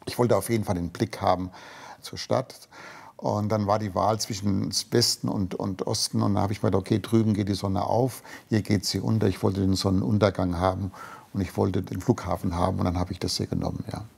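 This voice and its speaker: A man in his sixties talking casually in an interview, relaxed and often ending sentences with a questioning tag. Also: low voice, brisk, gravelly, slightly rough. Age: 60s. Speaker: interviewee, entrepreneur.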